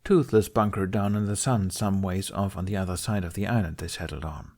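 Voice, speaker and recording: A man's voice: low voice, brisk, a little mellow. A man reading a story aloud as an audiobook narrator in a scripted, storytelling style.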